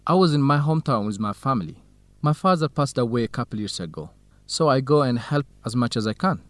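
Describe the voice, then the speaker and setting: An easygoing, modest young male researcher played by an actor in a radio drama. His voice sits at a middle pitch, and he talks politely and frankly in a low-key way. The sound is dry and close. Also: animated, brisk, no room sound, natural voice. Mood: modest, open. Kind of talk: educational radio drama acting.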